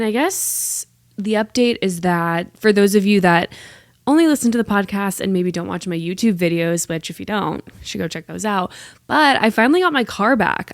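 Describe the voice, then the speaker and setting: A woman's voice: medium pitch, no room sound, studio quality. Chatty rambling woman in her twenties. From United States. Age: 20s. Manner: chatty, rambling, self-deprecating with frequent "like" fillers. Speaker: podcast host. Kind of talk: casual solo podcast chat.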